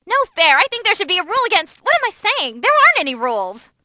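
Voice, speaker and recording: Squeaky, excitable young demon girl announcer. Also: quick pace, no echo, studio quality, exaggerated voice. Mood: worried. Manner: bubbly, cheeky, dramatic. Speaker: fight commentator. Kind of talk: animated fight commentary.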